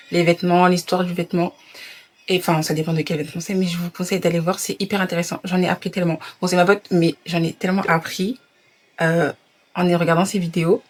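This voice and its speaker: A young woman vlogging in a relaxed, chatty way, her voice sitting a little low. She slips English phrases into her casual talk. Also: a little fast. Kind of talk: casual vlog chat.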